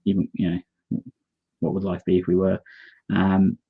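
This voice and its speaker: Gravelly deep man, lo-fi audio. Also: completely flat delivery, normal speed. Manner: earnest, explanatory, opinionated. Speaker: co-host. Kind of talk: live discussion, reading viewer comments.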